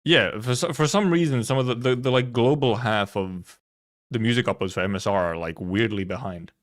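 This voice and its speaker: A man live streaming in casual chat, rambling and haltingly thinking aloud, with some offhand swearing. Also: mid-range voice. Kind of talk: casual chat, reacting to viewers.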